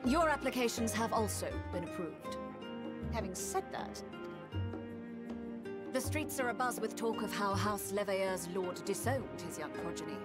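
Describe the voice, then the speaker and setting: A woman playing a stern, officious immigration official in a video game, formal, measured and disapproving. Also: medium pitch, close to an everyday voice. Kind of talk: scripted video game acting. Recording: voice under loud background music. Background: loud game music.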